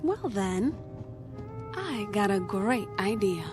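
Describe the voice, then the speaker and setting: A woman's voice: medium pitch, sing-song, takes it slowly, music in the background. An actress playing a fed-up, sarcastic girlfriend in a radio drama, speaking in a dry, pointed way. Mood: cool, hurt.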